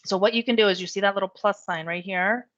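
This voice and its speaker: A woman giving a chatty step-by-step software tutorial while losing her voice. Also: medium pitch, steady tone, fast talker, no room sound, lo-fi sound. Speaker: real estate software trainer. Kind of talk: screen-share software tutorial.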